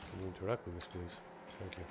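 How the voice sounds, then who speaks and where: A man's voice: deep voice, little pitch movement, quick pace, creaky, very noisy. A young man from Greece streaming a horror game, chatting casually with viewers and reacting as he plays. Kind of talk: casual gameplay commentary.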